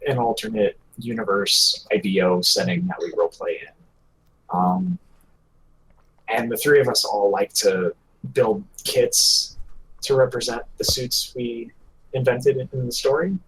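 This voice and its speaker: A man's voice: mid-range voice, lively intonation, measured pace, fairly bright tone, rough recording. Man chatting casually over noticeable background noise. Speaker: podcast co-host. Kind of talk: casual hobby podcast chat.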